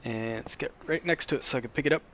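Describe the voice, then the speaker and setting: A man, a little hoarse after a cold, casually explaining a modded game as he plays, with plenty of ums and restarts. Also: mid-range voice, fast talker, a little hiss or hum. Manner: relaxed, rambling, lots of fillers. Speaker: Minecraft let's play host.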